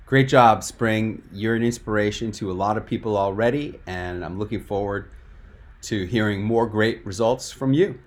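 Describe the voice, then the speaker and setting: A man hosting a live online coaching webinar, stressing key points by slowing and stretching words. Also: on the low side. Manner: emphatic, drawn-out for stress. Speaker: webinar host and speaking coach.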